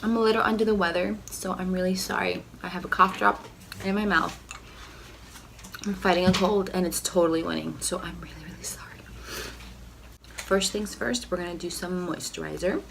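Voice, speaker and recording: A young woman with a cold, talking through a casual makeup tutorial at home in a nasal, rough, low voice, filling her sentences with "like" and "you know". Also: fairly even intonation, moderate pace, fairly bright tone, a little hiss or hum, low-quality recording. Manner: chatty, lots of "like" and "you know". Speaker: beauty vlogger.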